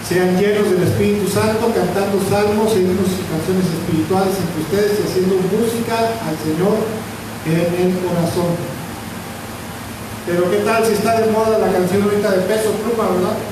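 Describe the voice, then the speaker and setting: A higher-voiced Mexican man preaches in a chatty, rambling way, repeating himself and checking in with his listeners. He sounds far from the mic in a very echoey church hall, with a clear layer of noise behind him. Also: fairly even intonation, normal speed. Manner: conversational, repeats words and asks "verdad?" often. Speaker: pastor. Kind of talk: sermon.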